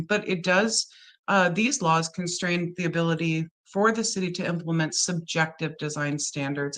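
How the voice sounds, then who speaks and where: Woman, under the weather, rough voice, staff presentation, explanatory, filler words. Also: on the low side, a bit bright. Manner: explanatory, with frequent ums and uhs. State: under the weather, with a deeper, rougher voice than usual. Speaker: city planning manager.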